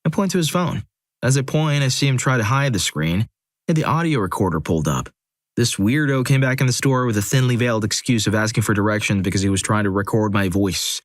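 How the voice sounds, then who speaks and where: A man narrating a compilation of first-person stories in a steady, scripted reading, with dry, wry asides. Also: fairly low pitch, brisk, no echo.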